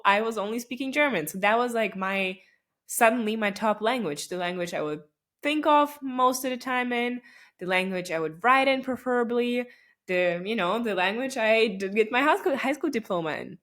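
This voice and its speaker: A woman's voice: on the high side, lively intonation, normal speed, a bit bright. Chatty young woman with a Russian-native lilt. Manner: chatty, many fillers and restarts, laughs often. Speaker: podcast host. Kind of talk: casual solo podcast reflection.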